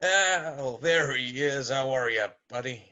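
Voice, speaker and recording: A man's voice: medium pitch, animated, slow pace, bright, forceful tone. A man playing a friendly, folksy elderly screenwriter in an amateur script table read. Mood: chummy. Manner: chummy, folksy. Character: friendly elderly screenwriter greeting an old pal.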